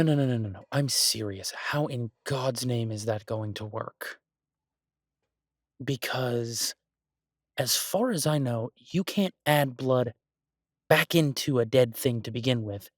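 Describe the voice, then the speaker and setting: Guy doing a boyfriend roleplay as an exasperated chef, mid pitched, incredulous ranting but fond, really dry recording. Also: a little slow, no echo, lightly acted. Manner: ranting, incredulous, emphatic with repeated words. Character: exasperated but affectionate human boyfriend, restaurant chef for supernatural guests. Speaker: audio roleplay performer. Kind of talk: boyfriend roleplay audio, conversational monologue to a listener.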